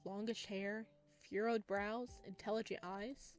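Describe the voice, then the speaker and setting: A woman reads visual novel narration aloud as a let's play host, dropping in casual reactions between lines. Her pitch sits in the middle for a woman, and music plays behind her voice. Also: steady tone, a little slow. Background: background music.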